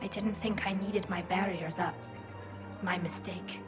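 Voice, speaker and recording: Woman acting as young female alien, mid pitch, echoey, conversational, resilient, game drama. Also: fairly even intonation, unhurried, over music, close to an everyday voice. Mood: recalling, rueful.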